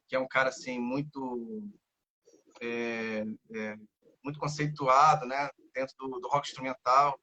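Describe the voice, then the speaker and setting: A man's voice: medium pitch, sharp tone. A man in his thirties from Rio de Janeiro with a Carioca accent, a guest guitarist chatting in a casual interview and rambling with many fillers and restarts. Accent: Carioca Brazilian Portuguese. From Rio de Janeiro, Brazil. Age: 30s. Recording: dull lo-fi audio.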